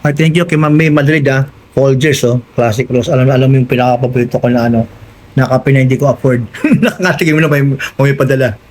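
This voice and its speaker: A man's voice: medium pitch, steady tone, quick pace, darkish tone. A Filipino man hosting a casual hands-on tutorial vlog, chatting directly to his viewers. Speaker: vlogger hosting an unboxing and installation.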